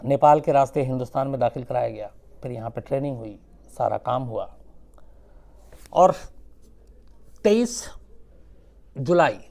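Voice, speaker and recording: Gravelly male true-crime storyteller, mellow tone. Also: medium pitch.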